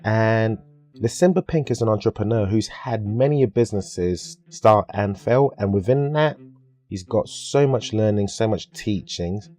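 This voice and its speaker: A man's voice: lowish voice, unhurried, mellow. A British man hosting a podcast in a casual, jokey, chatty way. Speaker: podcast host.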